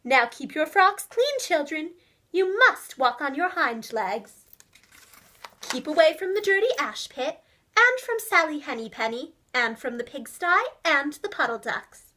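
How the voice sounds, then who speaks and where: Lady doing a strict fussy mama cat from a storybook, high bright voice, scolding and emphatic. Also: sing-song, unhurried, exaggerated voice. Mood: stern, fussy. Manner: stern, prim, emphatic. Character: bossy, affronted mother cat scolding her kittens. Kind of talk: children's storybook character voice.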